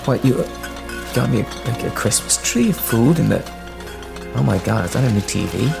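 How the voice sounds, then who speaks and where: A man playing a humble, anxious and grateful father in an audio drama, with a stammering, halting delivery. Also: mid-range voice, lively intonation, creaky. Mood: amazed, grateful. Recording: music under the voice.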